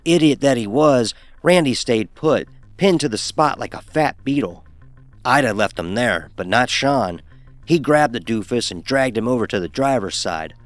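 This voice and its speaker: A man's voice: mid-range voice, expressive, moderate pace, no room sound, soft background music. A man narrating a first-person horror story in a dramatic, wry manner.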